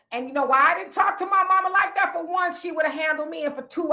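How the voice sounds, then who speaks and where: Blunt, emphatic high-voiced woman. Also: pushed and bright. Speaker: podcast host. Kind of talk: casual opinion talk.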